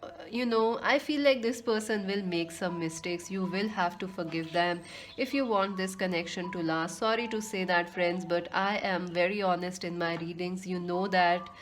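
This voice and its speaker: A woman's voice: on the low side, moderate pace, slight background noise. A woman with a sweet voice doing a casual tarot card reading, speaking earnestly to viewers and calling them friends. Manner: earnest, repeats herself, addresses listeners as friends. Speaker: tarot reader.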